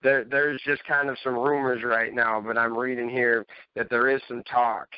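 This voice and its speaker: A man with a smooth, clean voice at a middling pitch shares opinions as a podcast co-host. He rambles casually and circles back over his phrases. The sound is dry and a little muffled. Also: little pitch movement, moderate pace, no echo.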